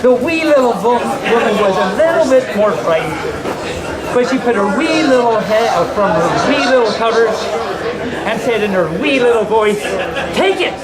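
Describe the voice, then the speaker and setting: Lively storyteller over loud crowd noise. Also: mid-range voice, normal speed, poor audio. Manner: playful, with asides and stumbles. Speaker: bedtime storyteller. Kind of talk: live children's story reading, told to an audience. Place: large indoor room at a convention.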